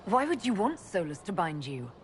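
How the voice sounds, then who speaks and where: A woman's voice: on the low side, big pitch swings, moderate pace, a little hiss or hum. A woman playing a composed, diplomatic leader in a video game, articulate and reasonable. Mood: reasoning. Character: composed female leader mage, diplomatic, steady.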